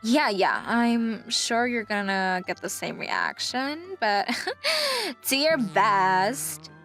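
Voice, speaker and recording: Woman playing a snobbish, high-voiced young travel agent. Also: big pitch swings, very slow, a bit bright, soft background music, heavily acted. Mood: condescending. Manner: phony, mock-polite, dismissive. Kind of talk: English manga dub acting.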